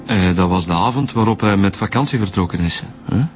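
An actor with a Flemish accent playing a blunt, insinuating police detective in a radio drama. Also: male voice, low-pitched, fairly quick, faint music behind, lightly acted. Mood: probing, blunt. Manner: blunt, probing.

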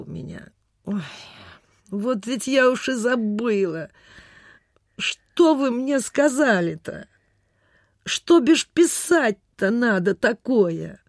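Very slow, high-voiced kindly country lady. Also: creaky, dry recording, clean studio sound, exaggerated voice. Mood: kindly, flustered. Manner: sighing, exclaiming, fussy. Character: kindly, forgetful, pious country landowner lady. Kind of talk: Soviet-style radio theatre acting.

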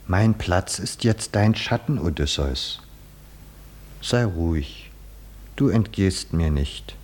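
An actor playing a solemn, nearly blind poet-seer in a 1950s German radio drama, admonishing a hero in a deliberate, oracular way. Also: male voice, sits low, animated, darkish tone, exaggerated voice. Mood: quietly menacing.

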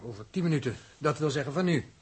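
Methodical spaceship navigator, measured, factual, slightly high voice, old radio serial. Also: male voice, lively intonation, a little mellow, breathy edge. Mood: calm, factual. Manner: precise, measured, matter-of-fact. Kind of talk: 1960s Dutch radio drama acting.

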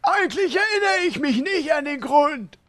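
A man's voice: very high-pitched, expressive, normal speed, fairly bright tone. A male actor playing a blunt, wisecracking adventurer in a comedic audio drama. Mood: puzzled.

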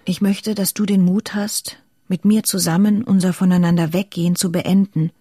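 An actress voicing a weary, resigned letter in a radio drama, quiet, breathy and intimate. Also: female voice, on the low side, level tone, normal speed, no echo, clean studio sound. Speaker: actor in an audio drama.

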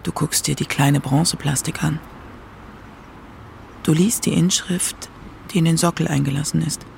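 A woman's voice: low voice, fairly even intonation, normal speed, vocal fry, darkish tone, a little hiss or hum. A woman reading scripted narration for a radio documentary feature.

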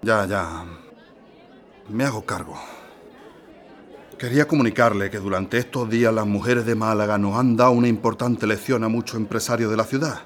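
A man playing a conciliatory, courteous businessman in a radio drama, measured and polite. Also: on the low side, a faint crowd, close to an everyday voice.

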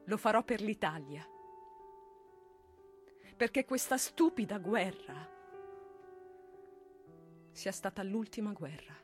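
A woman's voice: mid-range voice, expressive, slow, pauses often, faint music behind. An actress playing a grave, solemn woman in a First World War stage drama. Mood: solemn, resolute. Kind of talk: dramatic stage monologue over piano.

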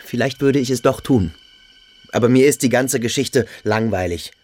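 An actor playing a cold, aloof, brooding boarding-school pupil in a radio drama, with a detached, dismissive manner. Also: male voice, mid-range voice, moderate pace, darkish tone, close to an everyday voice. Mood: weary, defiant. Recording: music behind the voice.

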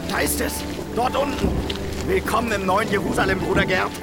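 An actor playing an exuberant young Anabaptist zealot in a radio drama, calling out loudly. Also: male voice, high voice, brisk, loud music behind the voice. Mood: excited. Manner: loud, calling out.

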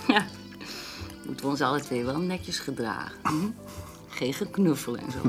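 A woman's voice: extremely low pitch, lively intonation, normal speed, music in the background. A woman playing a self-assured illustrator in a naturalistic radio drama, teasing and direct, with short answers. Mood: playful, teasing.

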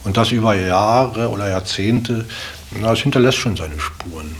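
A man's voice: on the low side, moderate pace. A man reflecting casually and personally in an interview, searching for words and often adding little tag questions. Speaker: interviewee in a radio feature. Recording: hissy recording with steady background noise.

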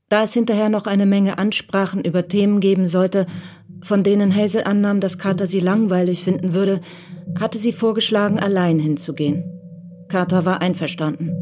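A woman's voice: medium pitch, mellow. A woman reading scripted literary narration for a German radio crime drama.

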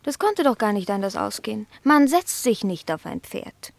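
A woman playing a haughty, sharp-tongued female cat in a 1960s German radio play, speaking with grand, theatrical disdain. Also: mid-range voice, very animated, moderate pace, gravelly, no echo. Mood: dramatic indignation.

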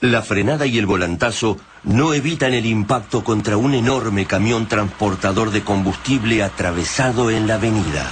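A man's voice: fairly low pitch, moderate pace, few pauses, a little hiss or hum. A man narrating a radio drama in a dramatic, scripted style.

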